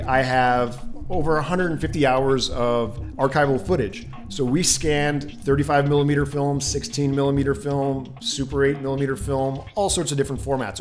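A middle-aged man with a North American accent explains technical matters to camera in an emphatic way, repeating key words for stress, recorded on a desk studio mic. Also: medium pitch. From Canada and the United States, born in Czechoslovakia. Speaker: video creator and colorist presenting. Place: small room indoors at a desk. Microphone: studio condenser mic on desk stand. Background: music.

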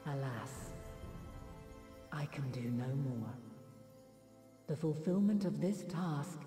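A woman's voice: deep voice, expressive, slow pace. A woman playing an ancient, weary, motherly goddess in a game cutscene, speaking in stately, solemn archaic phrases. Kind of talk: scripted game cutscene acting. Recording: echoing long reverb over background music.

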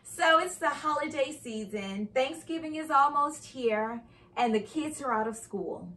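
A young adult woman reading a children's story aloud with expressive, carefully enunciated storytelling delivery. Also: high voice, unhurried. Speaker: host and reader of children's read-aloud show. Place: indoor living room by a fireplace.

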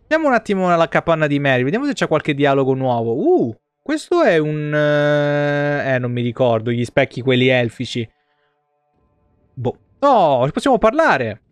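Clear-voiced Italian man streaming a game. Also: on the high side, sing-song, normal speed, no room sound. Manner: sarcastic, swearing, colloquial. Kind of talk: casual live-stream commentary on a role-playing game.

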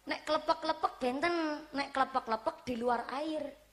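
A middle-aged woman preacher speaks with a strong ngapak Javanese accent from Cilacap. Her voice sits a little high for a woman, and her delivery is animated and playful as she teases a crowd and moves between Javanese and Indonesian. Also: unhurried. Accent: Banyumasan (ngapak) Javanese. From Cilacap, Central Java. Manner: animated, joking, switches between Javanese and Indonesian, laughs often. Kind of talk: religious lecture to a crowd.

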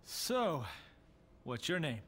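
A man's voice: fairly high pitch, big pitch swings, slow, a bit bright, exaggerated voice. A man playing a cocky, weary demon hunter for hire in a video game cutscene, with a sardonic, laid-back drawl. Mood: skeptical. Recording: music behind the voice.

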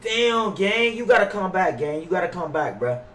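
High-voiced young American man, roomy sound. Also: big pitch swings, normal speed, fairly bright tone. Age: young adult. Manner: slangy, pleading and dramatic. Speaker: streamer playing an online roleplay game. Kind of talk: live game-stream commentary and in-character banter. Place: small room indoors. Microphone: headset. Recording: roomy, distant voice with clear background noise.

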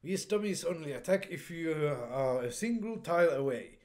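A man's voice: a little high, normal speed. A young man casually commenting on a strategy game as he plays, hesitating often and reading the on-screen dialogue aloud. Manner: hesitant, many fillers and restarts, reads dialogue in flat voice. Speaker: let's play player.

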